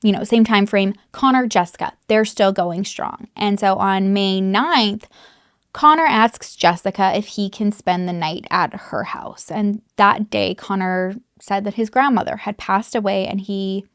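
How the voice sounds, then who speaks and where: A woman's voice: medium pitch, unhurried, clear, resonant tone, no room sound, studio quality. A woman recounting a true crime case in a casual, chatty way, full of fillers and the odd swear word. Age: adult mother.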